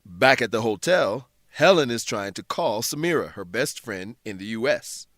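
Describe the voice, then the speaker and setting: A man's voice: fairly low pitch, expressive, moderate pace, a bit bright, dry recording, studio quality. A man narrating a radio drama for English learners in simple, scripted sentences. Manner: simple sentences with rhetorical questions.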